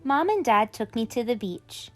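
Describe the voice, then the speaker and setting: A woman's voice: fairly high pitch, big pitch swings, moderate pace, soft background music. A woman hosting a children's read-aloud, speaking expressively and directly to young listeners.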